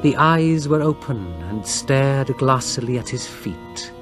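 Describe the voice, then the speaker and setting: A man narrating a gothic horror story in a breathy, husky voice, with grave, suspenseful, deliberate phrasing. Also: mid-range voice, music in the background. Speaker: audiobook narrator.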